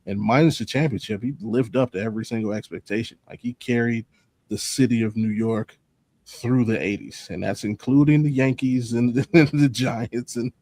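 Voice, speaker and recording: A man's voice: medium pitch, steady tone, moderate pace, darkish tone, dry recording. A man on a sports talk panel, casually reasoning through his opinions off the cuff in an analytical way.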